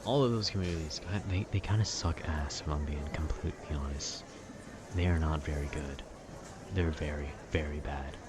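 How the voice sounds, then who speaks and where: A young man with a very low voice rambles casually in a calm, wry way. Clearly audible urban ambience sits behind his informal monologue. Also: normal speed, noisy background. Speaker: video creator. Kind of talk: casual rambling monologue about video games.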